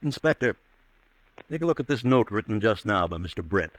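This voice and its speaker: A shrewd male prosecutor in a 1940s radio drama. His pitch swings widely as he probes and insists, in a dry, close sound. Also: very animated, no echo, rough recording. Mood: probing. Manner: probing, deliberate, accusatory. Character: shrewd, insistent male district attorney exposing a killer.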